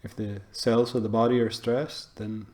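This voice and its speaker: A man guiding a meditation, speaking in short phrases with pauses between them. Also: on the low side, level tone, darkish tone. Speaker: meditation teacher. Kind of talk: guided meditation talk.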